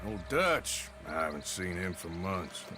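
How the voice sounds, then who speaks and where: A laconic, middle-aged American outlaw with a gruff drawl. He speaks slowly, and his pitch rises and falls freely. Game score music plays behind him. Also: big pitch swings. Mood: guarded, wry. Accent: American frontier drawl. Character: weary, wry middle-aged male outlaw. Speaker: outlaw protagonist. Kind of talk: video game cutscene acting.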